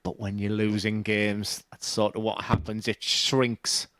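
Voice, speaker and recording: A man's voice: lowish voice, little pitch movement, a little slow, fairly bright tone, no echo, clean studio sound. A man with a Dutch accent giving live darts commentary in a plain-spoken, analytical way.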